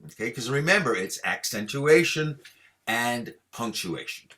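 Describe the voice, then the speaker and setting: A man's voice: mid-range voice, expressive, sharp tone. A man teaching Torah chanting to a class over a video call, patient and encouraging as he explains and leads the students. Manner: patient, encouraging, chants each sign slowly for students to repeat.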